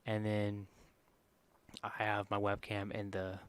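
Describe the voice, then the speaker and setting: A man with a quiet voice walking through a screen-recorded software tutorial in a casual, rambling way, full of fillers and self-corrections. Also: on the low side, monotone, slow, clean tone. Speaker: streamer giving a software tutorial.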